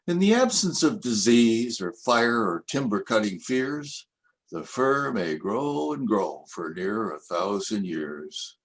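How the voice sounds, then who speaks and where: An older man in his seventies reading his own poems aloud, with measured, expressive recitation. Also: mid-range voice. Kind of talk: poetry reading at an event.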